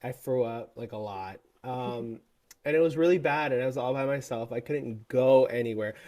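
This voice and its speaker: A man's voice: mid-range voice, normal speed, crowd noise. A young man co-hosting a casual podcast chat, joking and teasing with dry sarcasm. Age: young adult. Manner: joking, animated, deadpan sarcasm. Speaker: podcast co-host.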